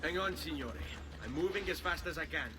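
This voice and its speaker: A man's voice: on the high side, brisk, natural voice. An actor playing a bold, determined young Italian man in a video game, speaking with an Italian accent. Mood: resolute. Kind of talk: dramatic video game acting.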